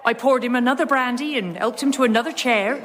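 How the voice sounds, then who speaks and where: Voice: mid-range voice, quick pace, close to an everyday voice. A performer playing a jolly old landlady, telling a dramatic tale in a radio comedy sketch. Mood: dramatic, earnest. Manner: rustic, dramatic storytelling. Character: jolly old West Country innkeeper woman telling ghost tale. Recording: live audience crowd noise.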